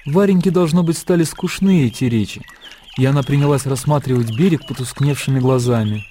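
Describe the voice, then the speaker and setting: A man narrating a literary radio drama in a measured storytelling manner. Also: medium pitch, mellow, slight background noise. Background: music.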